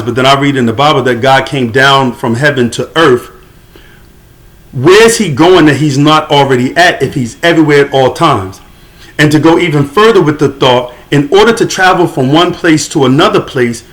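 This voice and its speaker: A man's voice: on the high side, fairly bright tone, low-quality recording. Argumentative man lecturing solo. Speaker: religious commentator. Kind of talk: solo monologue to camera.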